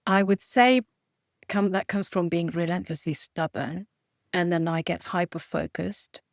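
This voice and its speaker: A woman's voice: lowish voice, unhurried, creaky, no room sound, studio quality. A woman in her forties from Romania and Hungary, a native Hungarian speaker, talking animatedly as an interview guest, often restarting her sentences. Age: 40s. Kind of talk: conversational interview.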